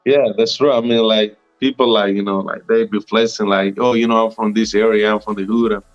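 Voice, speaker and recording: A Colombian man in his twenties, a native Spanish speaker with a Colombian accent, chatting as a guest in a relaxed, rambling way full of "like" and "you know". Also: lowish voice, fairly quick, dry recording, lo-fi sound. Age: 20s. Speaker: tour guide, guest. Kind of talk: casual chat.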